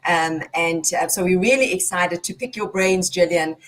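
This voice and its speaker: A woman's voice: on the low side, moderate pace. A woman hosting and moderating a panel, introducing guests in an upbeat, friendly way. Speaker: talk show host.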